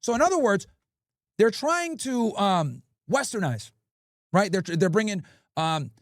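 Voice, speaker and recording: Brash, high-pitched animated male streamer. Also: unhurried, no room sound, clean studio sound. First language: English. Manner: brash, profane, animated storytelling. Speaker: livestream host. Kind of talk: profane livestream commentary.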